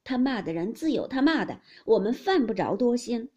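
Woman doing a gentle young maid, soothing, mellow, husky voice. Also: fairly high pitch, big pitch swings, normal speed, lo-fi sound, exaggerated voice.

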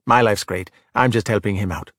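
A man's voice: on the low side, a little fast, a bit bright, no echo, voice pushed down. Male reader as boastful kid, casual swagger, overconfident, breezy, storybook character, close dry recording. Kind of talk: audiobook character voice in a children's story.

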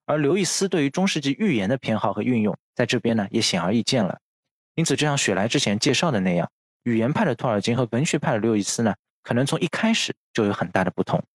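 A man's voice: medium pitch, big pitch swings, fast, no echo. A man hosting a podcast, narrating literary history from a script in a bookish, explanatory manner. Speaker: podcast host. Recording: music under the voice.

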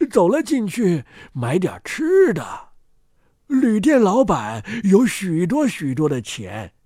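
A male storyteller reads a children's fairy tale with great drama. His voice sits high for a man and moves widely in pitch, in a dry, close recording. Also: warm tone, breathy edge, no echo.

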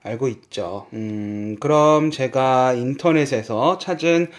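A man's voice: mid-range voice, slow pace. A man from Seoul co-hosting a casual Korean language podcast, teasing and laughing often. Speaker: co-host, Korean teacher.

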